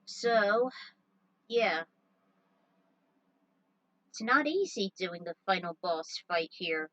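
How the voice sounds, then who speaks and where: A woman narrating her own story casually, pausing for halting, informal asides to viewers. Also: mid-range voice, expressive, a bit bright, lo-fi sound. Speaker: fan fiction author narrating own story.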